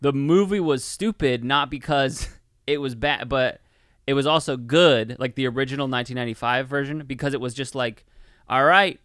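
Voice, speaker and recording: A man's voice: mid-range voice, a bit bright, no echo, clean studio sound. Male co-host for a film podcast, clean mid-range voice, informal and meandering, stop-and-start delivery. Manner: rambling, halting, heavy use of "like". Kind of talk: casual film review chat.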